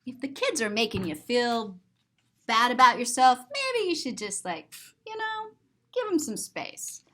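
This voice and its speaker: A woman with a fairly high, very animated voice reads a picture book to young children. She takes her time and pauses to explain things simply, like a teacher at story time.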